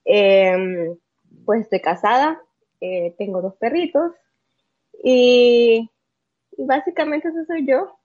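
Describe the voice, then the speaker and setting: A woman's voice: fairly high pitch, a little mellow, muffled recording. A woman in her early thirties with a Salvadoran Spanish accent, answering casually as an interview guest, with frequent hesitations. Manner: halting, many hesitations and trailing phrases. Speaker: podcast guest, amateur bodybuilder.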